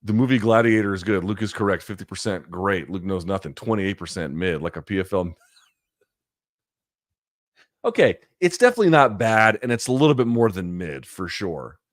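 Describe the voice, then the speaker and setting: Blunt, deep-voiced man chatting casually. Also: moderate pace, no echo, studio quality. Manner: blunt, profane, animated asides. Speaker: host answering viewer questions. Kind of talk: casual live chat reading questions.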